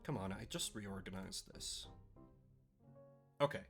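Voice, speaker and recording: A man hosting a casual live stream, chatty and playfully sarcastic, his voice a little rough and scratchy today. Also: sits low, unhurried, faint music behind.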